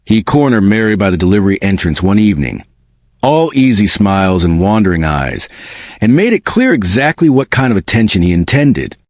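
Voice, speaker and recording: A man narrating a romantic drama in a measured, dramatic storytelling style. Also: on the low side, sing-song, no room sound, clean studio sound.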